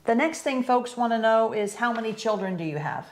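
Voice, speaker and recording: A woman's voice: a little high, animated, brisk. An older American woman co-hosting a casual question-and-answer chat, upbeat and chatty as she leads the questions. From United States. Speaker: co-host.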